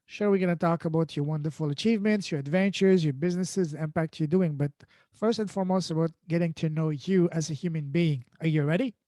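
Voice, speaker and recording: Male podcast host, native French speaker: high, mellow voice, effusive delivery with repeated words. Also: fast talker, no room sound, clean studio sound. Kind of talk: enthusiastic podcast introduction and interviewing.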